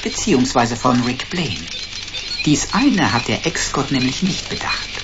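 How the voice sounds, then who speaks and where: An actor playing a detective's erudite, flowery sidekick in a sci-fi radio drama, mock-formal and long-winded. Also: male voice, mid-range voice, sing-song, measured pace, dull audio, background noise. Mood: wry explaining.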